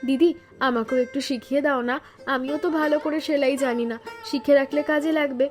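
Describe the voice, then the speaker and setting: A woman acts out a young city-bred wife in an animated family story. Her voice is high, expressive and questioning, with an anxious, caring temper. Faint music plays behind her. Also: a little fast, close to an everyday voice. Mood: caring, eager.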